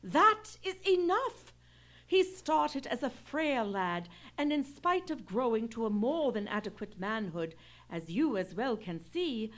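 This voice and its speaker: A woman plays an eloquent noble English wife in an old-time radio mystery. Her voice is high and very animated, with formal period phrasing and an anxious, devoted temper. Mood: bitter, emphatic. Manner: formal, theatrical, period diction. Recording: live theatre stage radio play.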